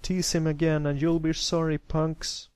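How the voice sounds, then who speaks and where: A man's voice: mid-range voice, normal speed, gravelly, darkish tone, no echo, natural voice. A man plays a grown stallion guard from a storybook. He is a firm, caring big brother who speaks protectively. The recording is dry and close. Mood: angry, protective.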